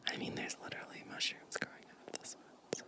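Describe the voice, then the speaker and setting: A woman whispering gently and softly in an ASMR show-and-tell style, breathy and unhurried. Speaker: ASMR video creator.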